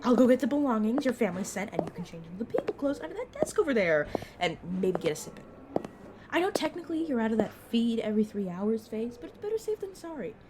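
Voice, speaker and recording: A woman playing a brisk, protective vampire mentor in an audio roleplay, talking casually and teasingly to a new fledgling. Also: on the high side, very animated, noisy background. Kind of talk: narrative audio roleplay, addressed to the listener.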